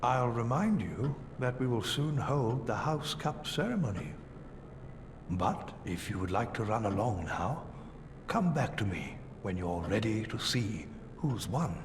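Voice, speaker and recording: An actor playing a calm, kindly elderly male headmaster who speaks in a measured, formal way to his students. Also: mid-range voice. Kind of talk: video game voice acting. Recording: long hall reverb with background noise.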